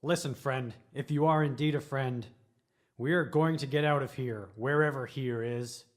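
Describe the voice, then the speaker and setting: A man's voice: mid-range voice, normal speed, close to an everyday voice. A man playing a bewildered amnesiac prisoner in an improvised radio comedy, with a melodramatic, urgent, questioning delivery. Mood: demanding, confused. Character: bewildered amnesiac prisoner desperately clinging to sanity.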